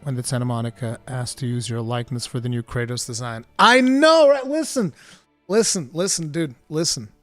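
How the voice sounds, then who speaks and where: A man's voice: mid-range voice, normal speed, dry recording, soft background music. A Portuguese man with an American-sounding English accent, chatting casually as a streamer and reading viewer questions. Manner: excitable, laughs often, says dude a lot.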